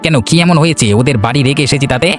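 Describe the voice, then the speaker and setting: A man's voice: a little high, very fast, faint music behind, lightly acted. A man voicing an honest, bewildered, henpecked village husband in a cartoon, plain-spoken and protesting. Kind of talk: Bengali cartoon voice acting.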